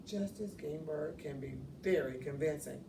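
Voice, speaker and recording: A woman reads a children's picture book aloud in an expressive, deliberate storytelling manner. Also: low voice. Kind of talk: children's read-aloud narration. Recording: roomy, distant mic, faint noise.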